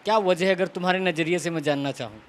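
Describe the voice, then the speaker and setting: A man's voice: high voice, quick pace. A man working as a news reporter, putting political questions to an interviewee.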